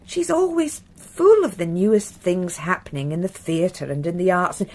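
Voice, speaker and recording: Radio drama casting: genteel British society wife, lowish husky voice, charming, wistful, confiding. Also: female voice, lively intonation, normal speed. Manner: soft, hesitant, confiding. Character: wistful upper-class titled wife, socially charming.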